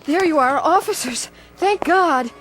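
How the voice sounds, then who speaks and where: Agitated female bystander, disapproving, animated high voice, radio drama, clear music bed. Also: a little slow.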